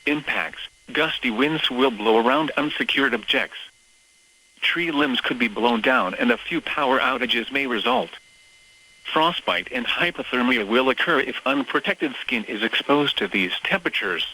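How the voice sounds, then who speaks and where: A synthetic male voice reading scripted weather bulletins as a radio announcer, in a formal, measured, list-like delivery. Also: mid-range voice, sharp tone, low-quality recording. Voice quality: synthetic text-to-speech voice.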